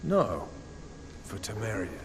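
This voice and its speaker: A man playing a stern special forces commander in a fantasy video game, formal and commanding, with measured threats. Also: fairly low pitch, big pitch swings, a loud crowd, full character voice. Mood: cold, menacing. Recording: game dialogue over noisy ambience.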